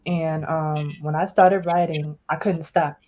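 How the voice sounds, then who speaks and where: Guest author, woman from Washington, deep-voiced, cheerful and eager. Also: fairly even intonation, measured pace, a little mellow. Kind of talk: casual interview.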